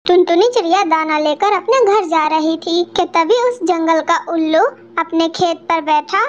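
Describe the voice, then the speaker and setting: A woman's voice: very high voice, normal speed, highs cut off. A woman narrating a scripted cartoon story for children.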